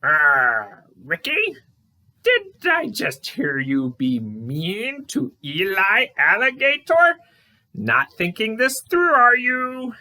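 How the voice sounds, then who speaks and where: A woman plays an eccentric fairy godmother puppet, grand and bossy yet loving. She pronounces emphatically in inverted sentences, with a bright, forceful voice and lively swoops. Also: on the high side, slow pace, pitched above the performer's own voice, heavily acted. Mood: scolding. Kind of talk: puppet show voice acting.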